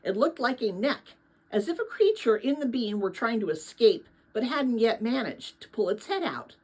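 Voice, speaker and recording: A woman narrates a storybook as a read-aloud. Her voice sits fairly high with a slightly husky texture. The delivery is expressive and varied in pitch, with a casual touch. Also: normal speed, poor audio.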